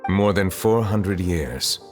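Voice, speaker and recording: A man voicing a calm, grave, ancient Chief Justice in a video game, speaking formally and with measured care. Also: low-pitched. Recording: long hall reverb, music underneath.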